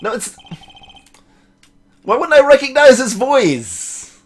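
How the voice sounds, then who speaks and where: A man's voice: very high voice, sing-song, slow pace. A man doing let's play commentary, reading a game aloud and talking back to it and to his chat.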